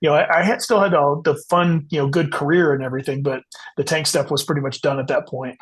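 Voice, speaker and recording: A man's voice: fairly high pitch, steady tone, fast, breathy edge. A man being interviewed as an author, explaining things at length in a casual, conversational way. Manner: explanatory, conversational, says "you know" often.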